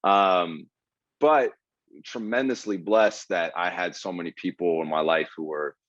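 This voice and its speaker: A man's voice: low-pitched, measured pace, a bit bright, no echo, muffled recording. A man in his twenties from Ohio, a guest comedian telling his life story in a casual podcast interview, earnest and full of fillers. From Ohio, USA. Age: 20s.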